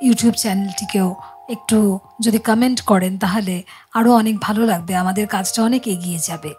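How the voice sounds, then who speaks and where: A woman's voice: medium pitch, steady tone, normal speed, a little mellow, breathy edge. Woman hosting a music chat show.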